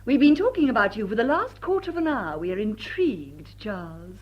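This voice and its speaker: An actress playing a blunt, teasing young aristocrat in a radio drama, with an upper-class English accent. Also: female voice, a little high, big pitch swings, brisk, husky, muffled recording. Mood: imperious, teasing. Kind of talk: British radio drama acting.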